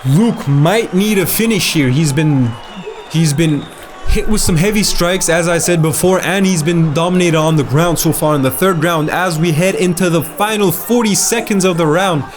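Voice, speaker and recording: A man commentating live on a fight, excited and repeating his exclamations. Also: on the high side, normal speed, faint music behind, a faint crowd.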